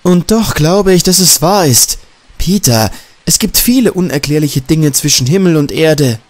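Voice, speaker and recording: Audiobook role: doubting, insistent woman reporter with a teasing edge, pitched up by a male reader. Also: expressive, moderate pace, darkish tone, natural voice. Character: sceptical woman journalist, gently mocking and uneasy. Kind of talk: audiobook dialogue.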